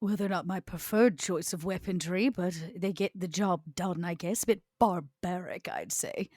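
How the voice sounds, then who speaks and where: A woman improvising in-character dialogue in a tabletop game, with formal, arch, measured wording. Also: lowish voice, a little mellow, no echo, studio quality. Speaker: player in a tabletop role-playing game.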